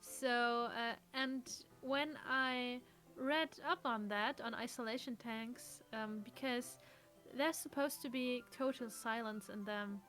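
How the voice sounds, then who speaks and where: A woman's voice: a little high, slow, a bit bright, faint music behind. A woman co-hosting a let's play, chatting casually and explaining things in a thoughtful way. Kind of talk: casual chat.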